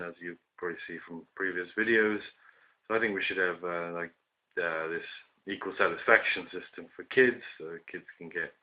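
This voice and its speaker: A man from the UK giving his opinions in a casual video monologue, hoarse from a chest infection and hesitating often with ums and restarts. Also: deep voice, highs cut off. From United Kingdom. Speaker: vlogger.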